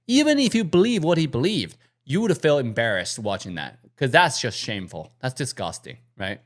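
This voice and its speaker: Animated man with lively pitch, blunt. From China. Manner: blunt, animated, slightly non-native phrasing. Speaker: reaction video host. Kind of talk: casual reaction commentary.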